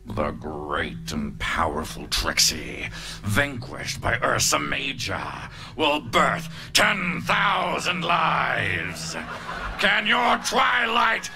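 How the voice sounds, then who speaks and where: A man's voice: mid-range voice, big pitch swings, extremely slow, creaky, raised voice, heavily acted. A man voicing a fawning, scheming young male pony sidekick in a live fan reading. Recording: show music playing underneath.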